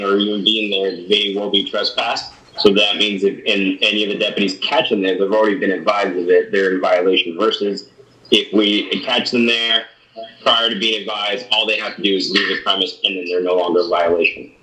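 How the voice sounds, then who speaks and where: A man, a sheriff's deputy, briefing a community meeting in a halting way, with many restarts and fillers. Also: on the low side, bright, forceful tone. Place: meeting room. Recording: echoey room.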